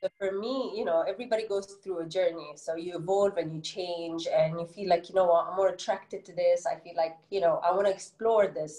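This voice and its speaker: Middle-aged woman author, Egyptian English accent, low mellow tone, earnest, filler-heavy answers, roomy echo. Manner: earnest, many "you know" fillers. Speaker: children's book author, guest. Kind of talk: interview answers.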